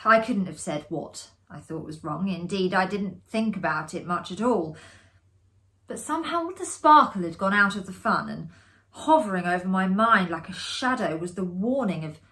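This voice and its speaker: A woman giving a measured, dramatic performed reading of a classic ghost story, with expressive pauses. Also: mid-range voice.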